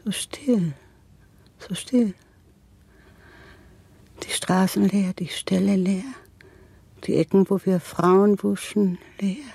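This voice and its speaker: A woman playing a dead plague-time washerwoman in a radio play, speaking in a breathy, hushed, lingering way. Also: mid-range voice, fairly even intonation, slow pace, long pauses, no room sound, full character voice. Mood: hushed, eerie. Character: dead washerwoman recalling a silent, emptied city.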